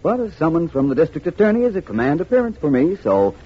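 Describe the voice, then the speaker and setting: An actor playing a composed, articulate gentleman detective who speaks in a polished, measured way, in an old radio drama. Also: on the high side, big pitch swings, breathy edge. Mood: cool. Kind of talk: 1940s radio acting. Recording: dull lo-fi old radio recording.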